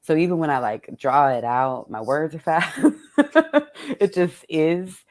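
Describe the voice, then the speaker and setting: A woman hosting as an author in casual conversation, gushing, informal and quick to laugh. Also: sits low, animated, no room sound. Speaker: author hosting a virtual event. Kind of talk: casual chat.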